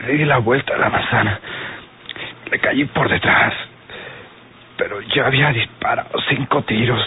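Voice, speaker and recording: Casting: injured young Mexican gunman, slow labored delivery, breathy and pained, lo-fi vintage radio drama. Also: mid-range voice. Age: young adult. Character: badly wounded young gunman, struggling to speak. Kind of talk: old radio serial acting. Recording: muffled, noisy old radio serial recording.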